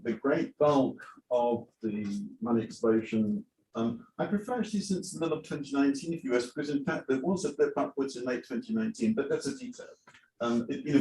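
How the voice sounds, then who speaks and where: A man's voice: medium pitch, a little mellow, recorded from a distance, a little hiss or hum. Blunt man speaking in an echoey conference hall. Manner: blunt, dismissive, thinking aloud with ums. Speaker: conference panelist. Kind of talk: conference panel answer.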